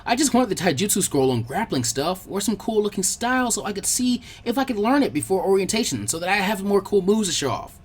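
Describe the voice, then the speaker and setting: A man's voice: sits high, sing-song, a little fast, hardly any pauses, slightly rough, voice pushed up, full character voice. A man voicing a brash young boy ninja, eager, boastful and given to whining, in an audiobook-style story. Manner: boastful, whiny.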